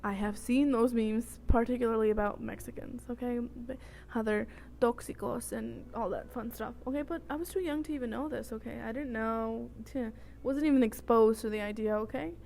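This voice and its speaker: A young woman in her twenties with a fairly high voice chats through a personal story like a vlogger. She drifts into asides and keeps checking in with her listener. The homemade recording has clear background noise. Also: normal speed, mellow. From El Salvador. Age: 20s. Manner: chatty, tangent-prone, often checking in with "okay?". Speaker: vlogger telling personal stories. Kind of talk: casual storytime chat. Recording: noticeable noise, homemade vlog audio.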